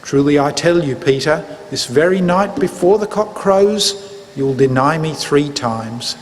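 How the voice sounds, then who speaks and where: A man reading the part of Jesus as a grave, resolute teacher in a solemn, measured dramatic scripture reading. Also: fairly high pitch, animated. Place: church. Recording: reverberant church hall, background noise.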